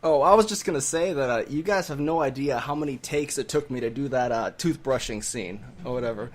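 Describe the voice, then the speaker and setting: Laid-back man, medium pitch. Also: fairly quick. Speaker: voice actor guest. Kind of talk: casual chat.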